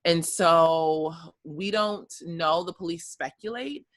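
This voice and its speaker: A woman's voice: on the low side, takes it slowly. An American woman in her thirties, a guest telling her story in casual conversation, with many fillers and easy laughs. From United States.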